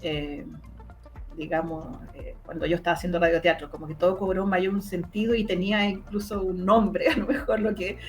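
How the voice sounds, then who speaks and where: A woman with a Chilean accent, speaking casually as a guest in an online interview. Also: medium pitch. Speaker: interview guest, sound artist.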